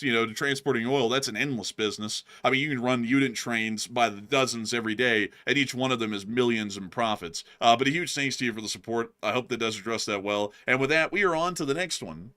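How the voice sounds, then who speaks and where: A young man co-hosting a casual livestream, chatty and animated, with a lot of "like" and "I mean". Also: medium pitch. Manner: animated, laughs often, acts out quotes loudly; many fillers like "like" and "I mean". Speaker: co-host of a news channel. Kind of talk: casual livestream chat reading donations.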